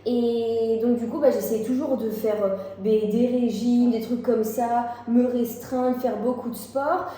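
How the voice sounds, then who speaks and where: A woman's voice: fairly high pitch, fairly even intonation, a little slow, few pauses, warm tone. A woman of twenty-two, a guest on the vlog, telling her own story candidly with frequent little fillers. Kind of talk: casual personal story. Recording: very echoey room, faint noise.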